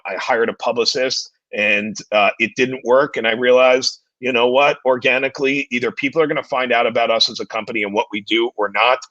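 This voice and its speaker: A man in his forties with a deep, distinguished voice, talking as a guest in a casual interview and often repeating words as he starts his sentences. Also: pushed and bright, no echo. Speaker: guest, entertainment company CEO. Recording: dull lo-fi dry sound.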